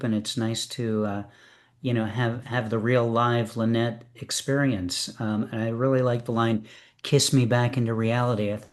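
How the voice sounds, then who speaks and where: Encouraging man hosting an open reading. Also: medium pitch. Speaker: host of a poetry open reading. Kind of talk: casual hosting chat.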